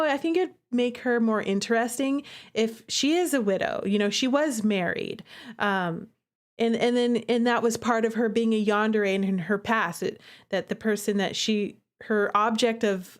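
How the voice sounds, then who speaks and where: A woman streamer giving casual, expressive and giggly commentary on a game she made herself. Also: mid-range voice.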